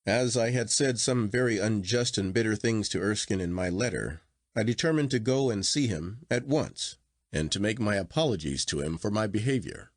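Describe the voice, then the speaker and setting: Male audiobook narrator, lower register, very varied intonation, expressive literary reading, dry close sound. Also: moderate pace, no room sound.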